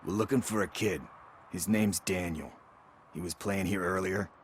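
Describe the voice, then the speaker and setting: An actor playing a sardonic, street-smart man with a husky voice in a video game. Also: deep voice, fairly even intonation, normal speed, slight background noise, lightly acted. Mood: teasing.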